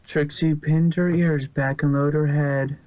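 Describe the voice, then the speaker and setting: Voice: medium pitch, flat delivery, measured pace, a little mellow, no echo. A narrator reading a fan story aloud in a plain way, often stumbling and restarting words. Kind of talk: amateur fanfic reading. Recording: dull lo-fi home recording.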